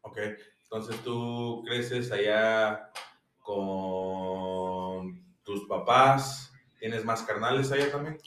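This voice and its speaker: Mexico city guy hosting a chill podcast, lowish voice, kinda far from the mic in an echoey room. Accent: Mexico City Spanish. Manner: relaxed slangy banter, drawn-out phrases. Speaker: podcast host. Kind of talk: casual podcast chat.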